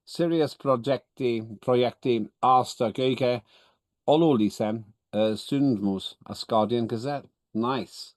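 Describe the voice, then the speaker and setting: A man's voice: medium pitch, slow pace, a little mellow, no echo. A man whose first language is Estonian, chairing an online parliamentary meeting in a businesslike way, often correcting himself with a dry humor. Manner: businesslike, self-correcting, wry. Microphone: video call.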